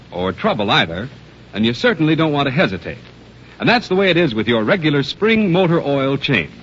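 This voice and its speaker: A man's voice: medium pitch, a bit bright, a little hiss or hum. An American man reading scripted announcements and commercials as a 1930s radio announcer. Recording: dull 1930s radio recording with music.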